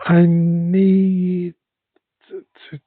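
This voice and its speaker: A man who speaks English and Welsh, talking in a hesitant, halting way with many restarts in a casual conversation. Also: sits high, completely flat delivery, husky, dry recording. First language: English and Welsh bilingual. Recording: dry, phone-like narrow sound.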